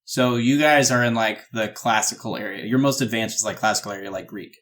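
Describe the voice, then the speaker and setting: A man narrating a tabletop game as game master, improvising descriptions in a halting, descriptive way. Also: on the low side, a bit bright.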